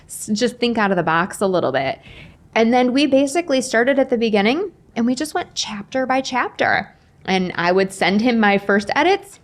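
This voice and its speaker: A woman in her forties chatting casually as an interview guest, telling stories with acted-out dialogue and self-deprecating humor. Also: mid-range voice. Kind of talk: casual conversational storytelling.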